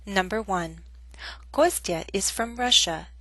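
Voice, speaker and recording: A woman teaching an English grammar lesson in a clear, measured, scripted way. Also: medium pitch, fairly bright tone, no room sound.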